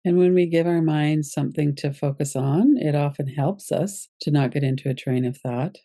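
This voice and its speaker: A woman guiding a yoga nidra meditation as its teacher, giving gentle, unhurried instructions with pauses. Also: low voice, no room sound, clean studio sound.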